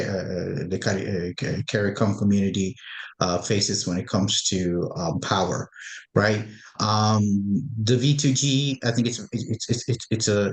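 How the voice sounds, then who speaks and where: A man with a fairly low voice speaks up from the audience of a webinar. He stammers and repeats words as he gathers his thoughts. His voice comes in over a video call. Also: rough recording. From Guyana. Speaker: webinar attendee. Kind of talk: live question from the audience.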